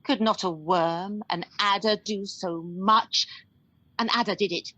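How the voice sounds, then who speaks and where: A woman's voice: mid-range voice, fairly even intonation, a little slow, bright, forceful tone, no echo, highs cut off. A woman playing a fierce, distraught young woman in a radio Shakespeare play, scolding and accusing in impassioned verse. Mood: furious anguish.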